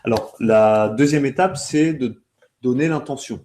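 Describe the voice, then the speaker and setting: A man with a dark, relaxed voice of average pitch speaks as a sales trainer. He lays things out step by step in conversation, often halting mid-phrase to find another wording.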